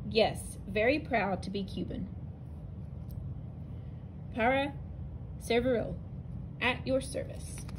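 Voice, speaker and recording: A woman's voice: on the high side, takes it slowly, pauses often, noisy background. Woman reading a picture book aloud. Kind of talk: reading a narrative poem aloud to students. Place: indoors, at a table over an open book.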